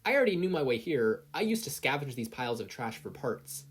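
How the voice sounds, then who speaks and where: A narrator voicing an earnest, excitable teenage boy hero in training, eager, polite and hesitant. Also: medium pitch, brisk, slightly rough.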